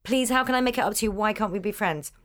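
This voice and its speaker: Co-host: woman, slight lisp, lively storyteller, mid-pitched. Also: fast talker. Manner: animated storytelling, quoting texts. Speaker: podcast co-host. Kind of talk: casual chat. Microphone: studio mic with pop shield.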